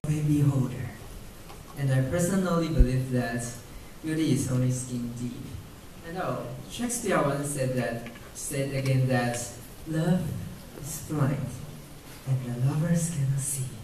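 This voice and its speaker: An earnest male radio talker, acted by a man, with a medium-pitched voice. He is heard from a distance in a very reverberant hall. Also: unhurried, warm tone, lightly acted. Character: earnest male talk show guest quoting literature. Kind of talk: scripted radio drama acting. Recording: echoey hall from far, faint noise.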